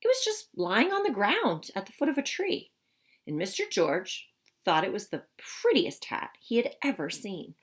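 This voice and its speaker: Animated woman reading a children's storybook. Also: mid-range voice, moderate pace, slightly rough. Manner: expressive, teacherly, explains the pictures simply. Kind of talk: children's read-aloud with explanatory asides.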